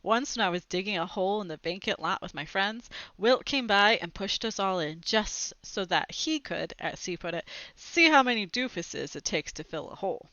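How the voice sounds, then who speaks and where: Young woman, bright crisp-edged tone, expressive storybook read-aloud, dry slightly dull headset recording. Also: mid-range voice, normal speed, dry recording. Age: young adult. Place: small room at home, indoors. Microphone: headset boom mic.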